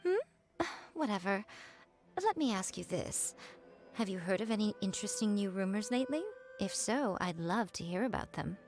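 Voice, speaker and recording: A teasing, aloof priestess from a video game, voiced by an actress. Her mid-pitched female voice is wry and languid, with playful condescension in the delivery. Music sits underneath. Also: lively intonation, moderate pace, darkish tone, lightly acted. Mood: nonchalant. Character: sly, teasing, aloof shrine priestess lady.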